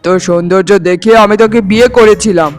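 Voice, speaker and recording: A man acts out a domineering husband in a cartoon drama. The voice sits high for a male, harsh and contemptuous, laying down orders. Music runs underneath. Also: fairly even intonation, fast talker, lightly acted. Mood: contemptuous, angry. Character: callous, domineering husband scolding his wife. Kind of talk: Bengali cartoon voice acting.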